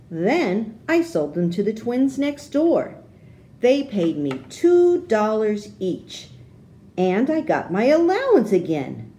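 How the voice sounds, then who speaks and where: A woman reading a children's storybook aloud, with expressive, deliberate phrasing for young listeners. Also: medium pitch, a little hiss or hum.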